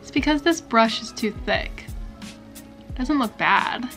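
A woman in her twenties chatting casually to camera in a small bedroom, indecisive and drifting into soft whispers. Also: a little high, animated, measured pace, faint music behind. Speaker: beauty vlogger. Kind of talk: casual chat.

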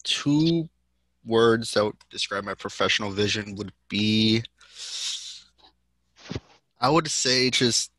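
Male student from Chicago, slow, low-voiced, dry. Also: fairly bright tone, no room sound, poor audio. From Chicago, USA. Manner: slow and searching.

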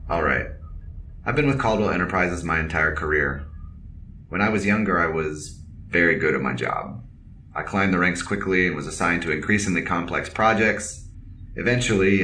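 Robotic-seeming suited officer: bright-edged bass, polite, measured and deadpan, for sci-fi audio drama. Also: male voice, slight background noise. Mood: reserved, matter-of-fact. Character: reserved, polite male cyborg officer in armored suit.

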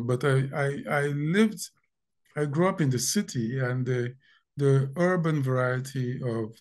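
An older man with a Guyanese accent talks slowly over a home video call. He pauses often and repeats words while he thinks. His pitch sits in an ordinary middle range. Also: darkish tone. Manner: slow, thoughtful, with frequent pauses and repeated words. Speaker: linguist guest. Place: home room indoors. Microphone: video call webcam.

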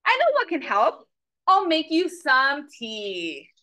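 A young woman reads a picture book aloud to children over a webcam. Her voice is high and bright, and it swings widely in pitch as she questions her young listeners. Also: takes it slowly. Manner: animated, asks children questions, switches between English and Spanish. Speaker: storytime reader. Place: small office with a Mexico flag poster. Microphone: video call webcam.

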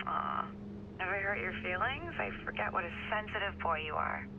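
Sardonic sister on the phone. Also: female voice, on the low side, huge pitch swings, normal speed. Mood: sarcastic. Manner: sardonic, teasing. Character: sarcastic, exasperated sister held captive. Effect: phone call filter. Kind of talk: video game voice acting. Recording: dull phone line with noise.

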